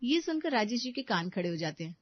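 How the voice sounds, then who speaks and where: A woman's voice: fairly high pitch, very animated, fast talker, dry recording. A woman narrates a moral family story as a scripted read. The recording is very dry, with a dull, lo-fi top end.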